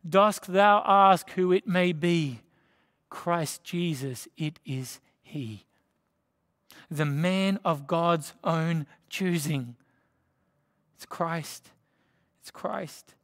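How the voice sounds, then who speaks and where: An Australian man in his thirties preaches from a lectern, his voice sitting a little high for a man. He is earnest and emphatic, and he leans on dramatic pauses. The sound carries the long reverb of a church hall. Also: a bit bright. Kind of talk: sermon. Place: church stage behind a lectern.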